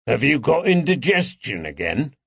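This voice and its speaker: Guy narrator playing a cheeky constable, blunt and familiar, a bit higher, audiobook, dull sound. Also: big pitch swings, normal speed, vocal fry, fairly bright tone, breathy edge, dry recording, exaggerated voice. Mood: teasing. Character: blunt, cheeky police constable, grown man.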